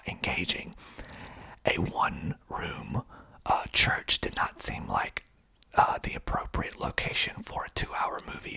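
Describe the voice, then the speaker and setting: An American man from Georgia speaking in a breathy ASMR whisper, explaining things in a hesitant, unhurried way close to the microphone. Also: rumbling low, sharp tone. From Georgia, United States. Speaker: host, filmmaker.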